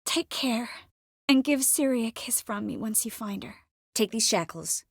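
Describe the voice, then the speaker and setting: Caring, wry young sorceress. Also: female voice, on the high side, lively intonation, normal speed, no echo, studio quality. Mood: matter-of-fact, wry. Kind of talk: fantasy video game voice acting.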